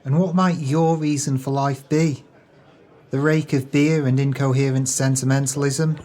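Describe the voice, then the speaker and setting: A man's voice: mid-range voice, fairly even intonation, normal speed, darkish tone, natural voice. An actor playing a jealous, callous male swindler in an audio drama, sneering and self-pitying with grandiose, wordy speech. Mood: mocking.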